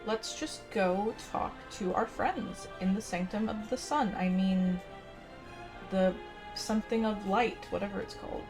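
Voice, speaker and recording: A woman gives casual commentary as she plays a game, sometimes reading quest text aloud. Her voice is mid-pitched, and game music plays clearly behind her. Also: unhurried. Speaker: gaming video host.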